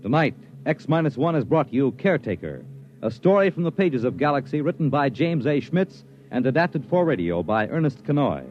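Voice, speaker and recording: A man's voice: medium pitch, sing-song, moderate pace. A man formally reading the closing credits of a 1950s radio program. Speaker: radio announcer. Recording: dull vintage radio recording with loud music.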